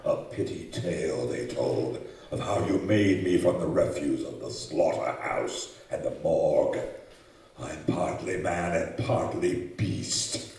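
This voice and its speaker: Very deep, slow, brooding monster. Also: male voice, dark tone. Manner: slow, solemn, declamatory. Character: lonely, menacing male monster, giant, pleading and bitter. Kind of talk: old-time radio horror acting before a live audience. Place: community center hall, live stage performance. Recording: echoey hall, distant stage microphone.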